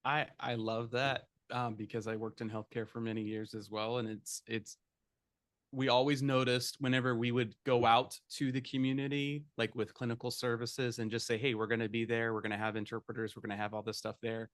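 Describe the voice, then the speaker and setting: A man's voice: medium pitch, level tone, a little fast, a bit bright, no room sound, clean studio sound. Conversational man moderating a panel. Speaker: webinar moderator. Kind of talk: casual panel talk.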